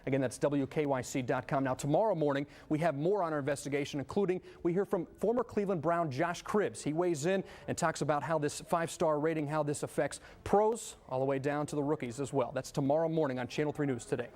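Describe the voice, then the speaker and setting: A man's voice: fairly high pitch, quick pace, hardly any pauses, darkish tone. A man with an American accent explaining things live as a television news reporter.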